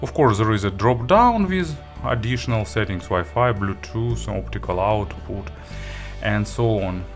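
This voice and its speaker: A man presenting a product review, walking through features item by item in halting, non-native phrasing. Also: fairly low pitch, lively intonation, faint music behind. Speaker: audio gear reviewer.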